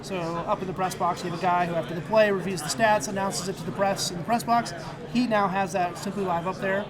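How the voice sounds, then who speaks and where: Male interviewee, notably high voice, explaining his work amid clear crowd noise. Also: steady tone, fairly quick. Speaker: university video production staffer, interviewee. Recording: busy crowd noise behind. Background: convention crowd chatter.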